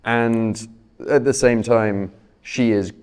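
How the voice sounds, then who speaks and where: A man interviewing an author onstage, measured and searching for his words. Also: mid-range voice, fairly even intonation, darkish tone, clean tone. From Britain.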